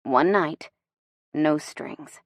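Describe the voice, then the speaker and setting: A woman voicing a confident, brooding male suitor in a romance audiobook, in a gruff, hushed, serious murmur. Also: low-pitched, dry recording, clean studio sound, pitched below the performer's own voice, exaggerated voice. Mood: intense, serious. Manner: murmuring, deadly serious, deliberate. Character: confident, intense man, seductive brooding suitor.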